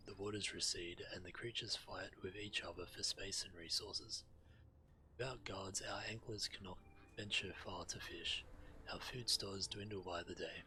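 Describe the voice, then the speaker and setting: A man's voice: sits low, pushed and bright, slight background noise, rough recording. A man reading video game quest text aloud as a streamer, acting out the quest givers' lines as he narrates.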